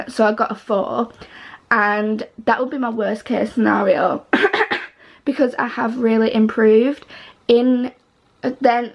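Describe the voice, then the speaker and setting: A teenage girl chatting to camera, rambling with lots of fillers and restarts, her voice a little croaky. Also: on the high side. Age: teens. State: slightly croaky voice. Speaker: vlogger. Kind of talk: casual chat to camera.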